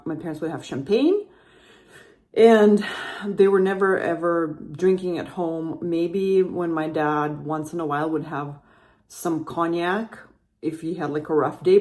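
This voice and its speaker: Chatty woman with a lowish voice. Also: a little mellow. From Russia. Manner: chatty, rambling, frequent self-corrections. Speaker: podcast host. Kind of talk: personal storytelling monologue.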